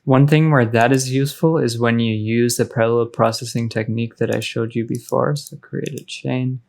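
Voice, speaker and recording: A man narrating a casual software tutorial as a music production tutor, informal and explanatory in manner. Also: medium pitch, fairly even intonation, moderate pace, vocal fry.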